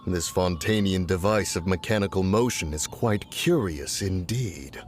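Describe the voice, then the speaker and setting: A man voicing a calm, formal and curious ancient sage in a game. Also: sits low, measured pace, slight background noise. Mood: measured. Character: pompous ancient male adeptus, calm and inquisitive. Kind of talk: game voice acting.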